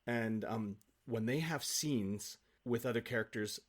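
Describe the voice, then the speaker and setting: Guy on a podcast, actor guest, chatty and gushing, animated storyteller, normal pitch. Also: measured pace. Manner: effusive, animated storytelling with acted-out quotes. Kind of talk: casual podcast conversation.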